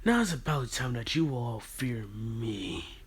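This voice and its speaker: A man voicing a sinister young male ninja who taunts slowly in a low, hushed whisper. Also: expressive, husky, full character voice. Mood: menacing. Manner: slow, taunting. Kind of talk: fan story roleplay.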